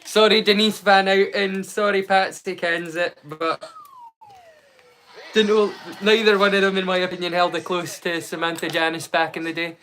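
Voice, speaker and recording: A man's voice: high-pitched, little pitch movement, moderate pace, a bit bright, slight background noise. A middle-aged man rambling chattily in a casual live watch-along stream. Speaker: livestream host.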